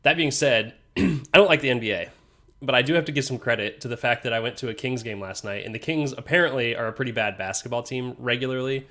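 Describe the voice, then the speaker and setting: A man's voice: mid-range voice, fast, bright, forceful tone. A young man with a stuffy, congested voice, co-hosting a podcast in a casual, rambling chat that is full of "like.". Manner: laid-back, rambling, says "like" a lot. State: congested from a recent sinus infection. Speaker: podcast co-host.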